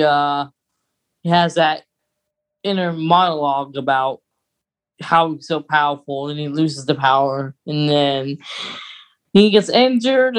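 A woman co-hosting a podcast, giving a casual, conversational recap of a story. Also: deep voice. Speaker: podcast co-host.

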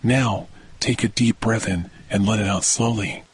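Male meditation host, low register, soothing and measured scripted read, faint thunder ambience behind. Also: background noise. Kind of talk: scripted guided sleep meditation. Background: thunder rumbles.

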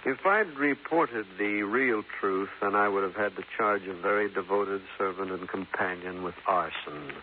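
An actor playing a guarded, courteous but firm American sheriff in 1950s radio drama, speaking formally and deliberately. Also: male voice, on the low side, lightly acted. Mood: earnest. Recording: noisy, narrow-band 1950s radio recording.

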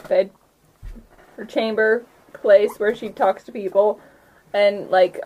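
A woman narrating a casual tabletop game as game master, halting and informal as she goes. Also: mid-range voice, darkish tone, low-quality recording.

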